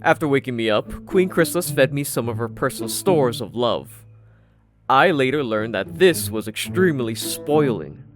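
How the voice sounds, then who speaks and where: A man's voice: medium pitch, lively intonation, normal speed. Male story narrator over music. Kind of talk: scripted audiobook story narration. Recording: music behind the voice. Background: background music.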